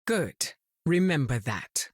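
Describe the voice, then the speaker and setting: A woman playing a self-assured, cool and wry goddess in a video game, measured and poised. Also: low voice, sing-song, slightly rough, dry recording, studio quality, heavily acted. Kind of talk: video game character dialogue.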